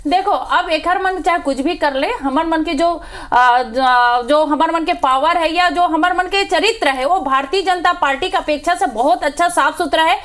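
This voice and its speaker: A woman's voice: extremely high pitch, moderate pace, few pauses, a bit bright. A woman party spokesperson with a Chhattisgarhi accent arguing in a political TV debate, combative and emphatic as she makes accusations.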